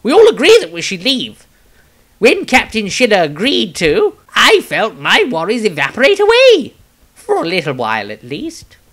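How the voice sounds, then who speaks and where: Man playing a loud, high-pitched apprentice wizard. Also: wildly swooping pitch, moderate pace, exaggerated voice. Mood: worried.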